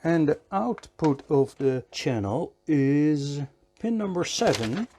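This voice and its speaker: A man giving a hands-on electronics repair tutorial in a measured, step-by-step way, often repeating short phrases. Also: on the high side, big pitch swings, darkish tone, soft background music.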